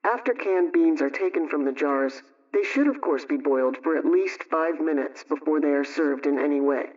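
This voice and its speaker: A man reading scripted household advice and recipes in a measured, instructional way, like a 1920s radio programme host. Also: fairly high pitch, a little mellow. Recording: dull, distant vintage radio sound.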